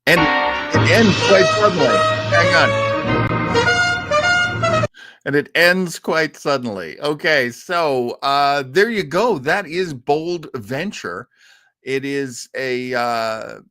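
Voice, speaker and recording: An American man hosting an informal talk on radio history, conversational, halting and explanatory. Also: medium pitch, pushed and bright, no echo, studio quality. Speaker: host.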